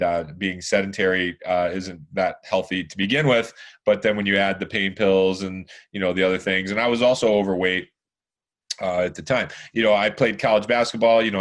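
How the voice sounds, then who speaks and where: A man in his forties with a deep, hoarse voice, talking at length as a podcast guest, rambling with lots of fillers and restarts. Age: 40s. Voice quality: deeper, hoarse voice. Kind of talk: casual chat.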